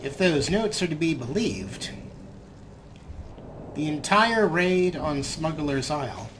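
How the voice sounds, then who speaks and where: A man with a slightly high voice hosts a let's play in a casual, wry way. His sarcastic commentary sits in clearly noisy sound, with game ambience behind him. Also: lively intonation, takes it slowly, fairly bright tone.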